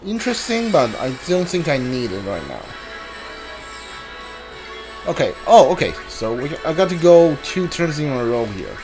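A man's voice: a little high, very animated, moderate pace, pauses often, a little mellow, faint music behind. A man giving casual let's play commentary, muttering reactions and reading item names aloud as he plays. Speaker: video game player streaming a playthrough.

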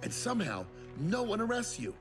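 An actor playing a smug middle-aged tech tycoon in a video game, glib and snarky. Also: male voice, high-pitched, huge pitch swings, fairly quick, music in the background. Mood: smug sarcasm. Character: smug, sarcastic male tech tycoon and veteran soldier.

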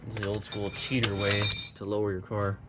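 A man's voice: lowish voice, completely flat delivery, fairly quick. Laid-back man explaining car work. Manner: laid-back, laughs easily, explains step by step. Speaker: car vlogger working on his car. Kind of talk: casual hands-on explaining. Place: open garage at night, under a car. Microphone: camera mic on the garage floor. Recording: tool clatter and ratchet noise.

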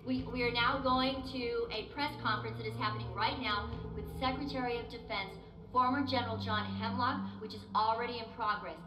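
A woman's voice: on the high side, steady tone, a little fast, few pauses, noisy background, close to an everyday voice. Actress as roomy-sounding American woman news anchor. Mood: composed urgency. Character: composed female television news anchor. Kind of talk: broadcast news delivery in a game cutscene. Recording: roomy sound with music behind.

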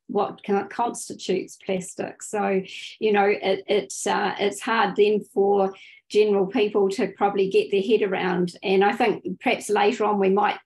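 Tentative New woman hosting. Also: mid-range voice. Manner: tentative, informal phrasing. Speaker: webinar host. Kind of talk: conversational interviewing on a video call. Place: indoors, video call.

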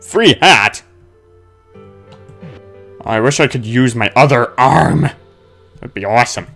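A man's voice: mid-range voice, slow pace, vocal fry, a bit bright, faint music behind. A man giving casual, playful video game commentary as a let's play host, talking back to the game's characters.

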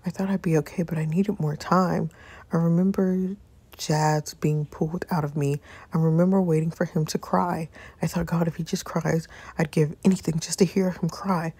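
A woman voicing a grieving young wife in first-person story narration, halting, hushed and anguished. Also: fairly low pitch, husky, no room sound. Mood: pained, reflective, then grief-stricken. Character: grieving young wife confessing pain and regret.

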